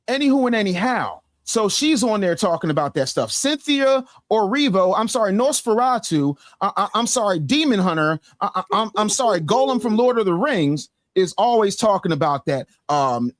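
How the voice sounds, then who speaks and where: A man commenting as a guest on a live stream, fervent and quick to list names. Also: high-pitched, dry recording, studio quality. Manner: fervent, rapid listing.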